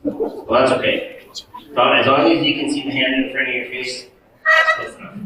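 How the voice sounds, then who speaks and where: A man's voice: mid-range voice, huge pitch swings, moderate pace, sharp tone, a little hiss or hum. A man with a Canadian accent working as a stage hypnotist, playfully coaxing audience volunteers at a live show. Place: large banquet hall, live show. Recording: echoey hall, distant mic, music.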